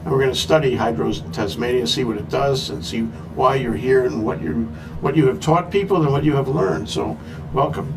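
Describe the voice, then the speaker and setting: A man's voice: medium pitch, a little fast, rough recording. A man hosting a live talk show, joking and rambling with fillers.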